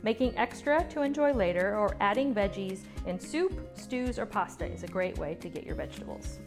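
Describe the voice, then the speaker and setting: Woman narrating a recipe calmly. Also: mid-range voice, normal speed. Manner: calm step-by-step instructions. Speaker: cooking instructor. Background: soft background music.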